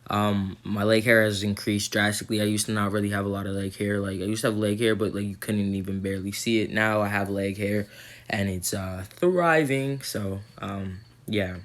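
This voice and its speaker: A man's voice: lowish voice, steady tone, normal speed. A young man recording a casual vlog update, rambling with lots of ums and likes, his throat a little sore. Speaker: vlogger.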